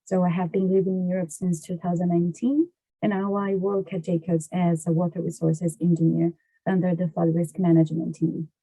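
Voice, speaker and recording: A woman with a Brazilian Portuguese accent speaks in a very soft-edged, dark and slightly low voice, recorded dry and close. She explains things as an interview guest, pausing often to find her words. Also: level tone, no echo, low-quality recording. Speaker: podcast guest, water resources engineer.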